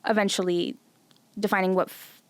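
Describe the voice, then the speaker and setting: A woman's voice: medium pitch, moderate pace, clear, resonant tone, no room sound. A woman in her late twenties, a visual artist guest on a podcast, talking casually with lots of "like". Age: 20s. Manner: chatty, heavy use of "like", animated. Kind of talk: casual interview chat.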